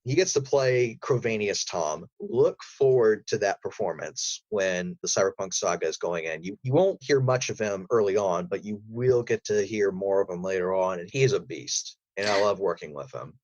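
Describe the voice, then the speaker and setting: A man's voice: low voice, animated, moderate pace, hardly any pauses, a little mellow, no room sound, poor audio. A man speaking as an interview guest about his voice work, in a rambling, enthusiastic way. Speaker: guest, voice actor.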